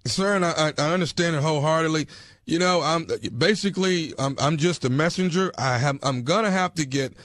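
A man playing a stern, bureaucratic neighborhood enforcement official with a halting, insistent manner on a prank call. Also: on the high side, fairly bright tone, close to an everyday voice. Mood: firm, official. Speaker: prank caller.

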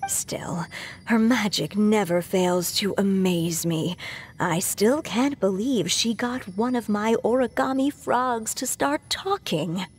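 Husky, unhurried witch. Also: medium pitch. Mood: fondly amused. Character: wistful, playful adult witch storyteller. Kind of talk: narrated diary in anime game.

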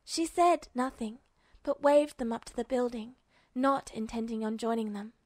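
A woman's voice: a little high, normal speed, a little mellow, no room sound. A woman reading a story aloud as a scripted fiction narrator. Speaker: audiobook narrator.

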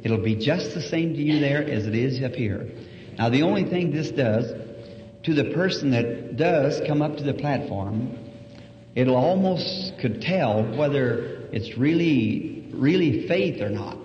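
A man preaching a sermon in a church, humble and folksy, soft-spoken, with a slightly hoarse voice. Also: medium pitch. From United States. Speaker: evangelist preacher. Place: church auditorium. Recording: old echoey hall recording, dull and hissy.

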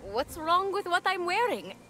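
Casting: plucky young game heroine, high, animated, earnest with a wry edge. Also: female voice, measured pace, slight background noise, exaggerated voice. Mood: earnest, surprised. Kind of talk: video game cutscene acting.

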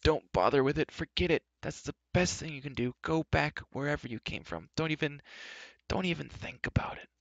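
A man's voice: medium pitch, lively intonation, moderate pace, a bit bright, no echo, highs cut off. A man voicing a gloomy, nervous fair-folk creature in a storybook reading, moaning, dithering and full of self-pity. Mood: fretful, evasive.

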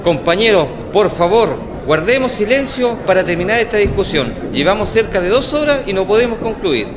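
Actor as argumentative high-voiced fisherman in hall. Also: big pitch swings, normal speed, crowd noise, background noise. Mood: insistent. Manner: argumentative, emphatic. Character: indignant fisherman accusing his absent union leader. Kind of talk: radio drama acting. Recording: reverberant hall with music underneath.

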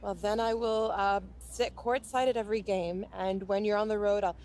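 A woman's voice: mid-range voice, fairly even intonation, moderate pace, a little mellow, a little hiss or hum, lightly acted. A young woman playing a blunt, independent athlete girlfriend in a video game, with a direct, unsentimental delivery. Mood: defensive, sardonic. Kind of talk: naturalistic video game cutscene acting.

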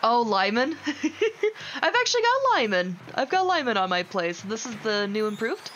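Excited lady doing a casual gaming stream, voice goes up and down loads, game music in the back. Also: a little high, moderate pace, muffled recording. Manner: gushing, enthusiastic, exclamatory. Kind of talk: casual gaming chat and reactions.

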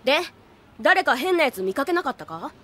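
An actress playing a hot-tempered tomboyish female teacher with rough, masculine phrasing in an anime audio drama. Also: high voice, very animated, a little fast, fairly bright tone. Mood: gruff warning.

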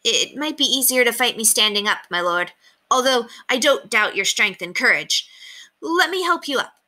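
A woman's voice: a little high, animated, moderate pace, bright, forceful tone, voice pushed up. A woman voicing an eager, imaginative, polite young boy in a storybook. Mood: courteous.

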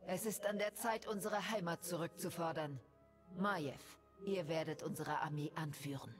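A woman's voice: sits low, moderate pace, music in the background, natural voice. A woman voicing a fierce, regal elf priestess and war leader in a video game, solemn and declamatory. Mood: solemn, resolute.

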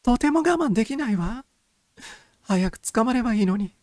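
Man voicing a high-pitched young society lady. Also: expressive, normal speed, darkish tone, no room sound, clean studio sound. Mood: anxious, impatient. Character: young society lady, beautiful, impatient and coquettish. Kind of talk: audiobook character dialogue.

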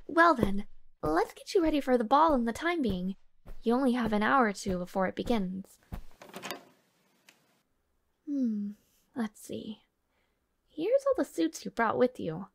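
A woman's voice: fairly high pitch, creaky. A woman playing a devoted young maid of noble birth in an audio roleplay, speaking politely and formally, with shy hesitations. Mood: bright, flustered. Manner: polite, soft-spoken, flustered hesitations, formal diction. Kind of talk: audio roleplay addressed to the listener.

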